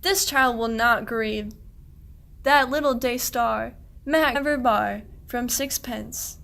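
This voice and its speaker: A woman's voice: a little high, slow, creaky, a bit bright, exaggerated voice. A woman playing a suspicious, persistent shepherd, delivering pointed, wry archaic verse in a radio play, with light background noise. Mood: curious. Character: suspicious, persistent shepherd hunting a stolen sheep.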